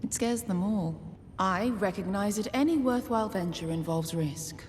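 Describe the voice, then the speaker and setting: An actress playing a poised, ambitious noblewoman councillor in an animated fantasy drama, speaking in a measured, formal, silky and calculating way. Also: medium pitch, slight background noise, lightly acted. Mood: cool, probing. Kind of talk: animated drama series acting. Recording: clips with music behind the voice.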